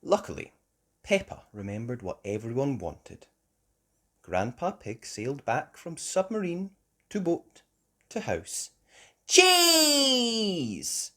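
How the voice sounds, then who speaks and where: A woman narrating a children's storybook aloud in an expressive, measured way. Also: extremely low pitch, slightly rough.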